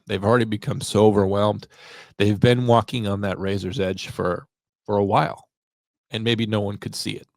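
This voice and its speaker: A man who works as a 911 dispatcher hosting a podcast, giving reflective solo commentary in halting, deliberate phrases. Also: fairly low pitch, no room sound.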